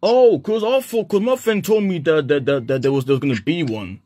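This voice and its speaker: A woman fan asking halting questions on a video call, repeating words as she goes. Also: deep voice, mellow, dry recording. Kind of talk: casual video call chat.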